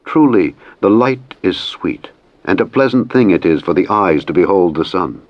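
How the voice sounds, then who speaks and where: A man reads scripture as a narrator, in a solemn, measured, formal style. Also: sits low, no room sound, lo-fi sound. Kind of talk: solemn scripted Bible reading.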